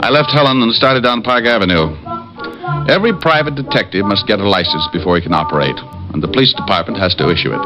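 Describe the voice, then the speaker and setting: Man acting a deep-voiced wisecracking American private eye. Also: animated, quick pace, lightly acted. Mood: wry narration. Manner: flippant, teasing banter. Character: wisecracking, world-weary but soft-hearted male private detective. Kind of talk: 1940s-50s radio detective acting and first-person narration. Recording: muffled vintage radio recording, music behind.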